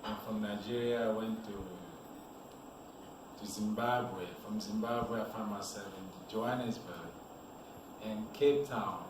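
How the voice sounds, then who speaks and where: A man from Cameroon, a model telling his life story in a relaxed, rambling way as an interview guest. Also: on the low side, measured pace. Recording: echoey room, voice from a distance, noisy background.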